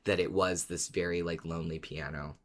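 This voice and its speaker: Podcast co-host, informal fan chat, excitable and emphatic delivery, casual phrasing. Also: low voice, a little fast. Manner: excitable, emphatic, peppers talk with "like".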